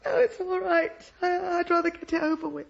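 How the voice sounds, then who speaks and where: A nervous schoolmistress in a radio play. Her very high voice trembles and falters with anxiety, captured in a slightly dull recording. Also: fairly quick, vocal fry. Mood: distressed. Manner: faltering, tremulous. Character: shaken, anxious young woman schoolteacher. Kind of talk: radio drama acting.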